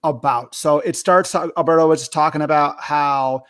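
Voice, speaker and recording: A man, likely middle-aged, hosting a casual movie discussion, talking haltingly and often rephrasing himself. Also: fairly high pitch, fairly even intonation, clean tone. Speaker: host of a movie review show.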